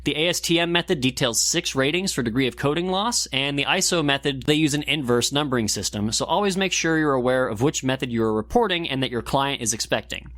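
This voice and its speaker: A man's voice: medium pitch, animated, fast talker, few pauses, a bit bright, dry recording. A man presenting a scripted instructional segment in a methodical, step-by-step way. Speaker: segment presenter and lab technician. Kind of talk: scripted explainer narration.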